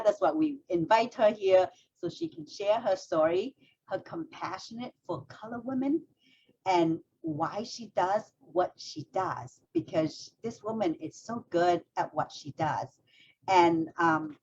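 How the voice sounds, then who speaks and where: Lively, low-voiced woman host. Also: highs cut off, a little hiss or hum. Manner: warm, effusive, hesitant with fillers. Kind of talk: interview host introduction.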